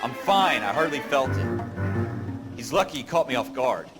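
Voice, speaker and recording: A man's voice: medium pitch, expressive, normal speed, over music, natural voice. A man playing a cynical, wisecracking 1940s patrol cop in a game, tough and colloquial. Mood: wry, casual. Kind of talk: game cutscene acting.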